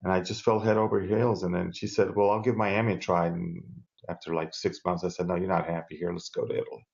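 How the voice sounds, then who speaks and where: A man's voice: low voice, flat delivery, quick pace, creaky, darkish tone, muffled recording. A middle-aged man from South America, chatting as a guest on a video-call interview, enthusiastic and rambling with restarts, from a home room with bookshelves. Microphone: earbud headset on a video call.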